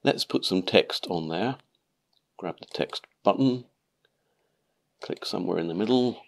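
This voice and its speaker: Man, very low voice, nasal with a cold, calm methodical tutorial narration. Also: measured pace, vocal fry. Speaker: maker channel host.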